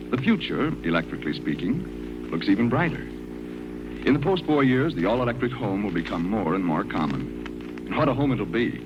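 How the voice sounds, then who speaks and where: A man with a low, lively announcer's voice reads a 1940s radio advertisement. His pitch moves freely through the copy. The sound is a dull vintage broadcast with music behind him. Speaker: commercial announcer.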